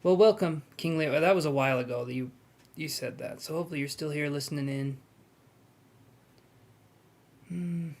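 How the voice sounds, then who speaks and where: A young man reading tarot on a live stream, chatting and gently reassuring his viewers. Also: fairly high pitch, fast, gravelly. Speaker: tarot reader.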